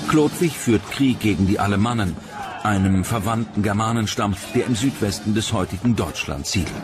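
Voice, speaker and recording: A man's voice: fairly low pitch, moderate pace. A man reading scripted narration for a history documentary. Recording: music bed behind narration.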